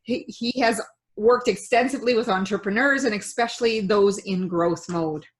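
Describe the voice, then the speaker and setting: A woman's voice: medium pitch, normal speed. Enthusiastic woman hosting a show. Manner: upbeat, enthusiastic. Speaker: show host. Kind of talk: reading a guest introduction.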